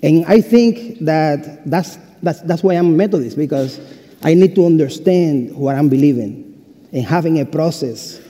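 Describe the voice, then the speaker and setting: A man's voice: on the high side, measured pace. Cuban-accented man preaching in a big hall. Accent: Cuban Spanish. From Cuba. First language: Spanish. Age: 40s. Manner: humorous, warm storytelling with self-deprecating jokes. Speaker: pastor. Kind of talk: sermon. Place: university chapel. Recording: reverberant chapel hall.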